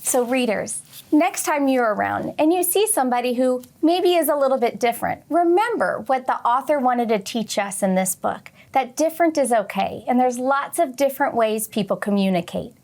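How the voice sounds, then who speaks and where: A woman teacher reading aloud and talking to young children, expressively, with questions and pauses for their answers. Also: high-pitched, lively intonation, a little mellow. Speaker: teacher hosting a children's read-along. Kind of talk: read-aloud and lesson addressed to young children.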